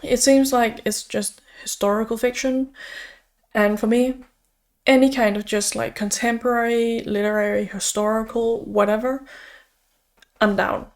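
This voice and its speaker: A woman's voice: fairly high pitch, flat delivery, measured pace. A young woman from Denmark, a native Danish speaker, chatting casually and upbeat as a book vlogger, with frequent fillers. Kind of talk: casual chat.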